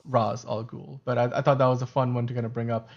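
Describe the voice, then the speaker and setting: A man's voice: medium pitch, level tone, fast, creaky, muffled recording. A man whose first language is Urdu, co-hosting a casual livestream chat, talking in a chatty way, often correcting himself and laughing. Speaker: podcast co-host.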